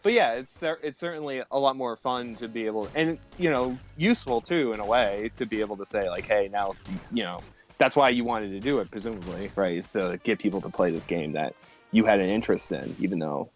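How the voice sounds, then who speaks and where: A man's voice: lowish voice, fairly quick. Informal man chatting, music behind. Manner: informal, sweary. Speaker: programmer guest on a stream. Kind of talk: casual tech and gaming chat. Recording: music playing behind the talk.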